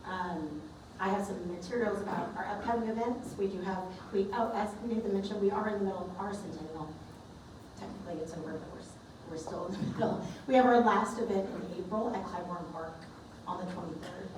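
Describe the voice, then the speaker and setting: A woman's voice: mid-range voice, fairly quick. A woman giving a casual presentation to a club meeting. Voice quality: raspy. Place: large meeting room. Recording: echoey room from far off with background noise.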